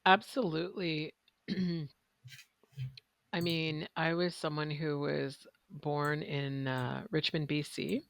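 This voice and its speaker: A woman from Canada speaking as an interview guest, chatty and expressive, often tagging her points with "right?". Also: low voice, slow pace, vocal fry, pauses often, no room sound. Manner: chatty and expressive, laughs easily, fillers like "right?". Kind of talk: conversational interview.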